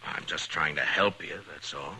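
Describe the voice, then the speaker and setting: An actor playing a firm, concerned frontier marshal in a 1950s radio western, plainspoken and probing. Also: male voice, a bass voice, moderate pace, pushed and bright, exaggerated voice, low-quality recording. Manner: plainspoken, hesitant lead-ins, probing questions. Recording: old 1950s radio recording, faint noise.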